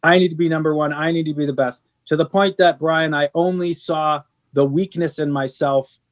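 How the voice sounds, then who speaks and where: A man's voice: on the high side, steady tone, moderate pace. A middle-aged man, a band's singer, talking earnestly in an interview, rambling with many restarts and fillers. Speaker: band singer. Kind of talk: candid interview conversation.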